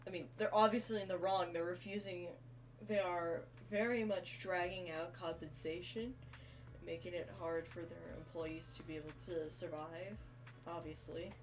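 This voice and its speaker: A woman's voice: fairly low pitch, steady tone, moderate pace, recorded from a distance, a little hiss or hum, poor audio. A woman giving casual commentary as she streams a game. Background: game music.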